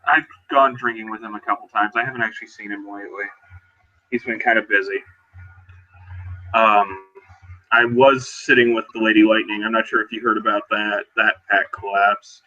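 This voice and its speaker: A man playing a laid-back, plain-spoken werewolf in casual, halting improvised roleplay. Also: lowish voice, steady tone, bright, forceful tone, lo-fi sound. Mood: matter-of-fact. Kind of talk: improvised in-character roleplay dialogue.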